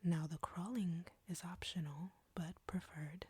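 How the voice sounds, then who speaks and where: A woman performs an arrogant, flirtatious female vampire in an intimate audio roleplay. Her voice is a low, very breathy whisper, close and dry. She taunts and commands at an unhurried, domineering pace. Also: fairly even intonation, warm tone, no echo. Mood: taunting, domineering.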